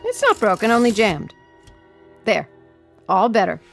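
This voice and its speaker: An actress in a radio drama playing a feisty, loyal newspaper assistant with a brisk, spirited manner. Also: female voice, medium pitch, huge pitch swings, creaky, soft background music, exaggerated voice. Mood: playful, brisk.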